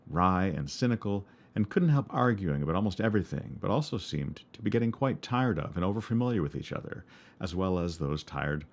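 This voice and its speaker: A man with a baritone voice, narrating a memoir for his podcast in a wry, reflective way. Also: a little mellow. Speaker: podcast host.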